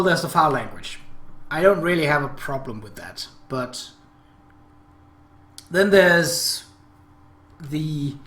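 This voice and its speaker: A man's voice: on the high side, a bit bright. A man hosting a visual novel playthrough, giving narration and commentary in a room. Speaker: gaming video host. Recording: roomy echo, clear background noise.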